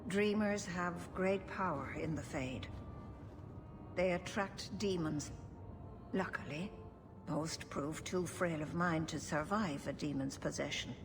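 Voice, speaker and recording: An actress playing a wise, grave elven keeper in a video game, formal and measured. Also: female voice, fairly low pitch, over music. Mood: grave, instructive. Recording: noisy game ambience behind.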